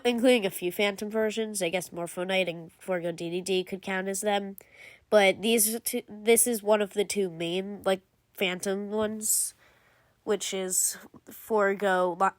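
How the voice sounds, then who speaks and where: A woman's voice: mid-range voice, steady tone, measured pace, no room sound. Rambling woman doing casual voice-over. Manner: rambling, filler-heavy, frequent "like" and self-corrections. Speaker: video creator ranking game cutscenes.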